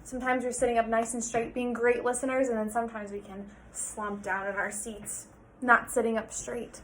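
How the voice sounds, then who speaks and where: A woman's voice: fairly high pitch, level tone, normal speed, a bit bright, slight background noise, low-quality recording. A woman teacher reading a picture book aloud to children, explaining things and prompting them with questions.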